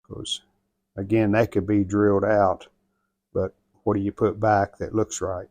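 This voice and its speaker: A man's voice: fairly low pitch, flat delivery, a little slow, darkish tone, no echo. An American man narrating hands-on repair work in a folksy, plainspoken way, thinking aloud as he goes. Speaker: host of a radio restoration channel.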